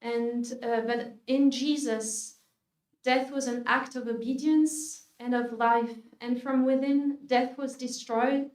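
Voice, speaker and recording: A religious sister from France with a French accent, giving a humble, hesitant faith talk with many fillers. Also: female voice, on the high side, steady tone, takes it slowly, darkish tone. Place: lecture hall. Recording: echoey hall, speaker far from the mic.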